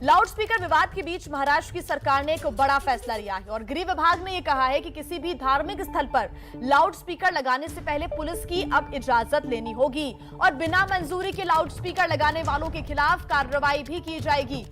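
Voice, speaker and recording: Woman, high voice, live news anchor, emphatic delivery, repeated key points, faint background music. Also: moderate pace, a bit bright.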